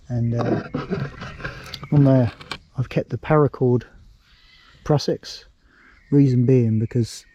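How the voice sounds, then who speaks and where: Slightly hoarse British man, mellow tone. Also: mid-range voice, slight background noise. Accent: British English. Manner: rambling, thinking aloud with many ums and restarts. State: slightly hoarse, losing his voice. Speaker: outdoors vlogger. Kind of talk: casual vlog talk to camera. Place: woods outdoors, at dusk.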